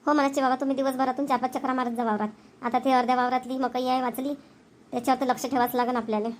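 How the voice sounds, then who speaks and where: A woman acts a fretful village mother and farm wife in a cartoon comedy. The voice is high and plaintive, with a habit of repeating things. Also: flat delivery, rapid-fire, a little hiss or hum, close to an everyday voice. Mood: indignant.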